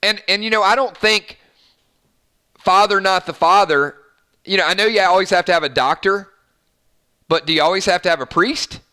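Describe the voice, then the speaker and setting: Bright, upbeat male live-stream host. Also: sits high, moderate pace, pauses often, clean tone. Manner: chatty, upbeat, lots of fillers and restarts. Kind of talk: casual live-stream chat reading viewer comments.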